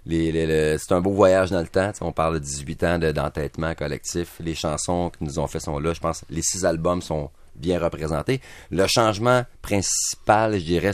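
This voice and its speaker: A man's voice: deep voice, no room sound. A man with a Québécois French accent, a band member guesting on a radio show, talking in an upbeat, joking way. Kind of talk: casual radio interview.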